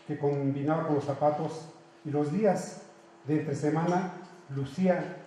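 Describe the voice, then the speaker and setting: A man's voice: fairly high pitch, dark tone, heavy reverb, low-quality recording. A Mexican man, a native Otomi speaker who teaches at a university, reading aloud and then explaining earnestly, often correcting himself. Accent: Mexican Spanish. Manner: earnest, with frequent restarts and self-corrections.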